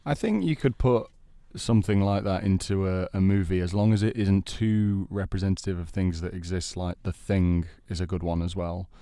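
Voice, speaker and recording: Low-voiced man chatting about films. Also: moderate pace, hardly any pauses, mellow, no echo, studio quality. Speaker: podcast panellist debating films. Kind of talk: casual chat about films and games.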